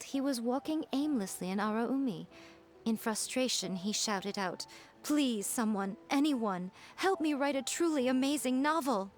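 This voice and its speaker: A woman as a sly, higher-pitched teasing shrine maiden. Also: natural voice. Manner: teasing, poised, unhurried explaining. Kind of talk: video game character acting.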